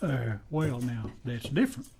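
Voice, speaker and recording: A man's voice: medium pitch, big pitch swings, measured pace, vocal fry, dark tone, slight background noise. An actor playing a folksy, fussy American deputy who is loyal to his marshal, in a western radio play. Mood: chatty. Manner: folksy rustic drawl, fussy and deferential. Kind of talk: old-time western radio play acting.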